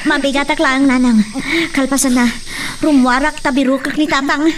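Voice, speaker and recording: A woman's voice: high-pitched, level tone, normal speed, natural voice. A young woman playing a resolute, fiercely protective daughter in an emotional radio melodrama. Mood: determined. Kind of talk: melodramatic radio drama acting. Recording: distant mic, faint background noise.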